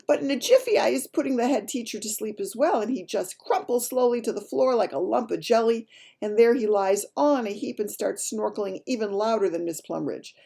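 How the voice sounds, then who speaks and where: A woman voicing a child gleefully recounting dreams in mangled grammar, in an excited, emphatic storybook reading. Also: a little high, fairly quick, vocal fry, breathy edge, pitched above the performer's own voice, exaggerated voice. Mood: gleeful, mischievous.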